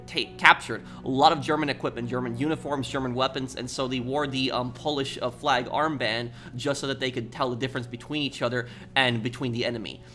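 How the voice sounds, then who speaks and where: A man's voice: mid-range voice, steady tone, brisk, hardly any pauses, pushed and bright, soft background music. A man from the United States speaking casually and earnestly as a gaming YouTuber, explaining things as he introduces a playthrough. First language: English.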